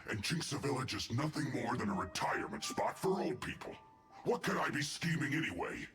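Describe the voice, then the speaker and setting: A man's voice: medium pitch, sing-song, brisk, natural voice. An actor playing a defensive, grumbling male agent in a video game, speaking over game music. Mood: sulky. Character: sulky male agent caught picking berries. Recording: roomy echo.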